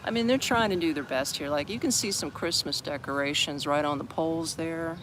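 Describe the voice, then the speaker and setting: A man's voice: on the high side, brisk. An American man from Florida chatting casually to live-stream viewers from a city park, rambling and greeting people as they join. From Orlando, Florida, USA. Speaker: expat livestreamer. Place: outdoors on steps in a busy city park. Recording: noisy outdoor city street. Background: street and traffic noise.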